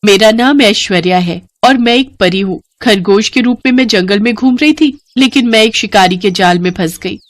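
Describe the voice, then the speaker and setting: Gracious forest fairy for a moral storybook, played by a woman: mid-pitched, earnest, formal, solemn. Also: normal speed, hardly any pauses, no echo, lightly acted. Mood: gentle, grateful. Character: gentle, grateful female forest fairy granting boons, stern with wrongdoers. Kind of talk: Hindi moral storybook acting.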